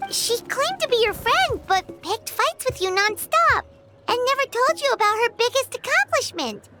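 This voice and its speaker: A woman voicing a small, chirpy, frank fairy companion with a squeaky childlike voice in a video game. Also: sing-song, moderate pace. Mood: frank, curious. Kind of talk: English video game voice acting. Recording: background music under the voice.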